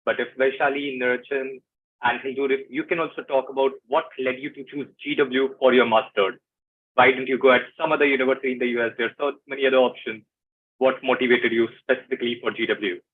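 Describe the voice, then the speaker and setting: A man's voice: mid-range voice, steady tone, fast talker. A man moderating an online panel, brief and inviting speakers in turn. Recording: tinny phone-like call audio.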